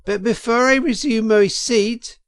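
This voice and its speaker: A man voicing a smug, mocking chief weasel in a storybook, squeaky and given to mock-formal speechmaking. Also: steady tone, a little slow. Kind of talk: audiobook character voice.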